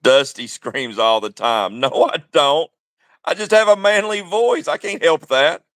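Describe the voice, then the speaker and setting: Taunting high-voiced man, dry sound. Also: a little slow, a bit bright, dry recording. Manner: taunting, repeats the host's name. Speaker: caller on a livestream. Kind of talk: heated political argument.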